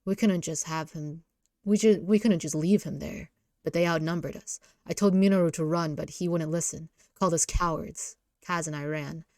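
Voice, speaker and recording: A woman voicing a brooding, injured teenage delinquent boy in a low, subdued, terse grumble for a visual novel. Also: steady tone, normal speed, a little mellow, dry recording, clean studio sound, natural voice. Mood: angry, regretful.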